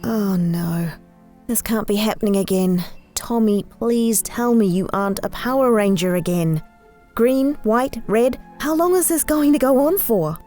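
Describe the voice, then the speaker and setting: A woman plays an exasperated wife, hurt by her hero husband, in audio drama dialogue. Her voice is warm and mellow at a middle pitch, over faint music. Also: measured pace, close to an everyday voice. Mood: dismayed.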